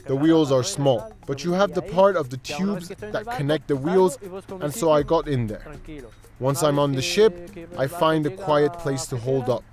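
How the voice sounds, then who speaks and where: A man's voice: fairly high pitch, natural voice. A man reading the translated testimony of a young Moroccan migrant man as a documentary voice-over. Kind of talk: translated first-person documentary narration. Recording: music under the voice.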